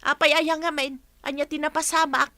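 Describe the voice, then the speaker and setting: A woman's voice: high voice, flat delivery, fairly quick, bright, forceful tone, no room sound, studio quality. A woman with a Filipino accent playing a concerned mother in a radio drama. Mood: concerned, questioning.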